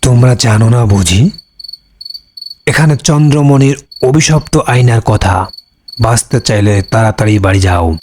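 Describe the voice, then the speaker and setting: Casting: man as foreboding cartoon stranger, medium pitch, urgent warnings. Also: measured pace, pauses often, a little mellow, a little hiss or hum, natural voice. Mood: ominous, warning. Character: ominous passerby warning night travelers. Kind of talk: cartoon horror storytelling.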